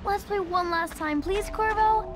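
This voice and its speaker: Eager, high-voiced little princess. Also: female voice, measured pace, very noisy. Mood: playful. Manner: bright, pleading, childlike. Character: eager, playful young girl, an empress's daughter. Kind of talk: scripted game dialogue. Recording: voice under loud music.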